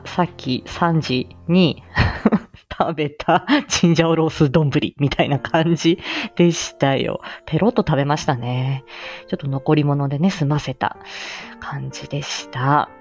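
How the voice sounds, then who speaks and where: A woman with a mature, low-set voice hosting a casual solo live stream, rambling in hesitant, self-effacing phrases with frequent small chuckles. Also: unhurried, darkish tone. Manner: chuckles often between phrases. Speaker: live stream host and amateur voice actor. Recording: dull lo-fi audio, faint music behind.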